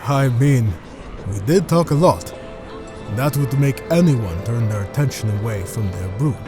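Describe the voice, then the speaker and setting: An actor playing a polite, chatty panda-man traveler in a measured way for a fantasy audio drama. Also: medium pitch, lively intonation, music in the background, natural voice. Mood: reassuring. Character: courteous male Pandaren traveler, chatty and curious.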